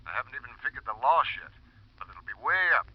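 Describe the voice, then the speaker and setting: A worried company guy calling by phone, raspy mid voice, old radio show, muffled. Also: medium pitch, big pitch swings, fast talker. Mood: urgent, businesslike. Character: brisk, worried male insurance company man. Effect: telephone filter. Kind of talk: 1950s radio drama acting.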